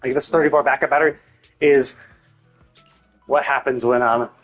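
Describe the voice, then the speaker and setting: A man livestreaming a game with casual, rambling commentary, thinking out loud, cutting himself off and swearing freely. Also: mid-range voice, fairly even intonation, moderate pace, faint music behind, rough recording. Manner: casually profane. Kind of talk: casual gameplay stream commentary.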